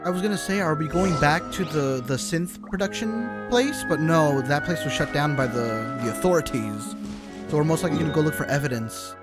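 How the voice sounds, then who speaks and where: A woman's voice: deep voice, expressive, fairly quick. A woman giving casual, slangy live commentary as she reacts to a video game. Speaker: game streamer. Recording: game music behind the voice. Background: video game music.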